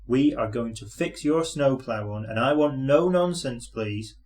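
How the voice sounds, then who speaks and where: A man's voice: medium pitch, measured pace, a little mellow, lightly acted. A plain-spoken, firm steam engine driver from a children's tale, played by a man. He talks seriously and without hurry, in a cautioning way.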